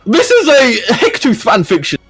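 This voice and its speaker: A man's voice: extremely high pitch, huge pitch swings, normal speed, music in the background. A man hosting casual comedy banter, reacting freely with swearing and mock announcements. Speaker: host of a comedy fan fiction video.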